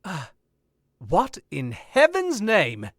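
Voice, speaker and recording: A man voicing an urbane author who teases in a slow, lilting way, his pitch rising and falling widely. He is a radio-drama gentleman, polished and wry. The recording is close and dry. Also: wildly swooping pitch, dry recording, heavily acted. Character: suave witty older male author. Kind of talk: old-time radio drama acting.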